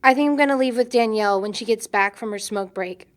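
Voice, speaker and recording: A woman's voice: on the high side, quick pace, lightly acted. A woman acting as a young party guest with a dry, casual manner, in film dialogue.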